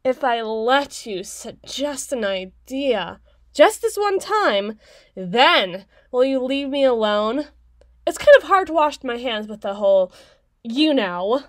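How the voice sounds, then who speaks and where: An anxious young woman played by a woman bickers in an ASMR roleplay. Her high voice jumps about as she gets defensive and exasperated, sulky and easily irritated. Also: very animated, takes it slowly.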